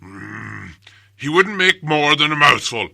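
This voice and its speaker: A man voicing big, dim, quarrelsome trolls in a storybook, with a rough gravelly Cockney delivery. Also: a little high, very animated, no echo, studio quality, full character voice. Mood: menacing. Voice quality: gravelly, forceful. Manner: slow-witted, rough, blustering speech.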